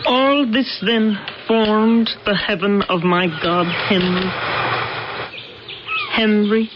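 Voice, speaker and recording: Devoted island woman voiced by an actress, solemn, formal, very unhurried delivery, vintage radio drama, noisy recording. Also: mid-range voice, fairly even intonation, dull audio, close to an everyday voice. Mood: pleading, sad. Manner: formal, reverent, deliberate, stilted phrasing. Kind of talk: early 1980s radio drama acting. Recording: noisy, band-limited old radio broadcast recording.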